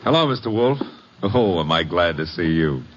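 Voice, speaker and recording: An actor playing a cocky, wisecracking detective's assistant in a vintage radio drama, tossing off flippant dry remarks. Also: male voice, low voice, big pitch swings, normal speed. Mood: wry, nervy. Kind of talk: 1940s-50s radio detective acting. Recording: muffled vintage radio recording, faint hiss.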